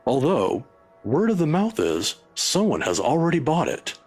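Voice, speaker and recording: A man playing a husky old professor. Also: a little high, wildly swooping pitch, darkish tone, faint music behind, heavily acted. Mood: musing. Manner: measured, lecturing, wry. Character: older male professor, scholarly and guarded.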